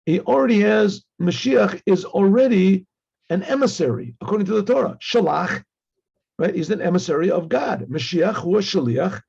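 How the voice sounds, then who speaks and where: A man's voice: high voice, a little mellow, highs cut off. A man teaching an informal religious lesson as a rabbi, reading Hebrew text and translating it conversationally, repeating phrases as he goes. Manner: conversational, acts out dialogue, repeats phrases, frequent throat clearing.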